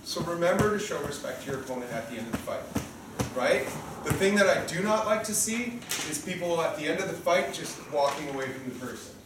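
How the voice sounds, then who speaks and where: A man's voice: on the high side, lively intonation, fairly quick. A man in his fifties giving an informal coaching briefing to a group as organizer and referee, often checking in with short tag questions. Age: 50s. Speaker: sparring event organizer and referee. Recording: echoey, distant room recording with noise.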